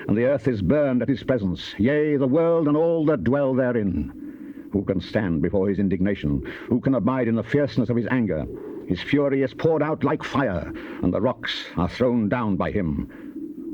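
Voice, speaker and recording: A man's voice: medium pitch, lightly acted. A man acting a grave, wrathful Old Testament prophet in a solemn, declamatory scripture dramatization. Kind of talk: dramatized King James scripture reading. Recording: dull, noisy cassette tape.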